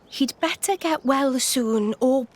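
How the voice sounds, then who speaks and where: A woman's voice: high voice, slow pace. A woman voicing a blunt, fiery young woman in a video game, exasperated and scolding.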